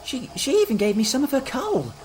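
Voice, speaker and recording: A woman's voice: high-pitched, very animated, fairly quick, a little mellow, slight background noise. Woman as hesitant young boy engine, wildly swooping voice. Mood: bewildered, anxious. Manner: hesitant, stammering. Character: Ryan, young male tank engine, earnest, troubled. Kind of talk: audio drama acting.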